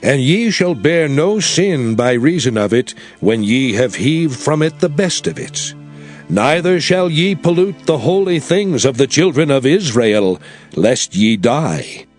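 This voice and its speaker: A man playing a solemn biblical lawgiver, declaiming commandments in a measured dramatized scripture reading. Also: fairly high pitch, very animated, soft background music. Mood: solemn, instructive.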